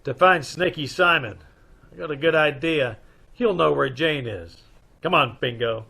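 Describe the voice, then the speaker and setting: A man playing a brisk, wisecracking private detective in an old-time radio mystery. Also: on the high side, vocal fry, sharp tone, breathy edge, heavily acted. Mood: brisk, probing. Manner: clipped, wry interrogating.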